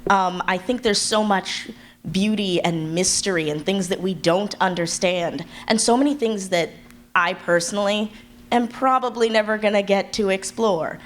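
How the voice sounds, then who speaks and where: A woman's voice: mid-range voice, moderate pace. A woman speaking earnestly and thoughtfully as a writer on a live panel. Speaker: panelist, audio drama writer. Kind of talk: earnest conversational panel talk. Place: convention panel room with background noise. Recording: noisy live convention panel room.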